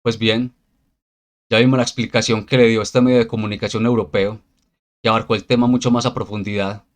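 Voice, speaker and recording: A man hosting a news commentary channel, speaking in a measured scripted way and linking segments with set phrases. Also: medium pitch.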